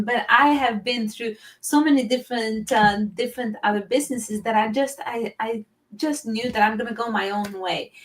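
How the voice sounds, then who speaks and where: A woman's voice: a little high, distant mic, poor audio. A woman from Romania, a teacher, speaking earnestly as a guest on a live-stream interview over a video call. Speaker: guest, teacher and business owner.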